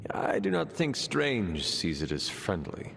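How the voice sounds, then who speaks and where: An actor playing a calm resurrected warrior in a video game, speaking in a measured, formal, earnest way. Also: male voice, on the low side, creaky, big-room sound, a little hiss or hum, exaggerated voice. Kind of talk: video game cutscene acting.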